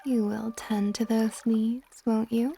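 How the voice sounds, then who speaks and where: A woman playing a possessive, tender dragon goddess in an intimate ASMR roleplay, speaking softly and breathily, often near a whisper. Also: a little high, little pitch movement, slight background noise. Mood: teasing, seductive. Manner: soft, breathy, slow, often dropping to whispers.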